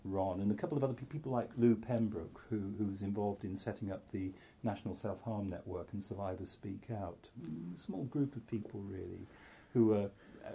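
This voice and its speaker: Middle-aged welsh-born psychiatrist guy talking to a crowd, mellow kinda husky voice, blunt and self-mocking. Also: fairly low pitch. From North Wales. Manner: candid and self-deprecating, with frequent restarts and asides. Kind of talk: talk to an audience.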